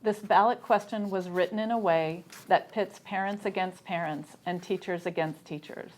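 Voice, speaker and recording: Female debate speaker, slightly low pitch, formal and prepared delivery. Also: moderate pace, low-quality recording.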